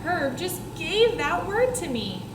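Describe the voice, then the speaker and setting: A woman voicing a chatty, dramatic first-grade girl from a storybook, with excitable, emphatic, childlike phrasing. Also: extremely high pitch, slow, pitched above the performer's own voice, heavily acted. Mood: excited. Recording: roomy classroom echo, clear background noise.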